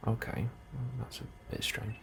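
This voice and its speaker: A man in a soft, hushed ASMR role-play, speaking calmly and unhurriedly as a helpful colony immigration officer, close to the microphone. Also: fairly low pitch. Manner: hushed, unhurried, sometimes dropping into whisper. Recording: clearly audible background ambience noise.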